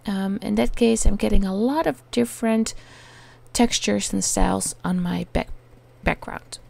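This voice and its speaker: A Dutch woman with a Dutch accent, talking through a crafting tutorial in a hesitant way, often pausing with "um" while she searches for words. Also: medium pitch, creaky, a little mellow. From Netherlands. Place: home attic.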